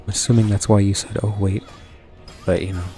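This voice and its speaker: A young man with a lowish voice half-whispers casual commentary to his stream chat as he plays. He keeps up a soft breathy delivery with mouth noises while his throat wears sore. Faint game music plays under his voice. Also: flat delivery, a little fast. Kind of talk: casual gaming commentary, trying ASMR-style whispering.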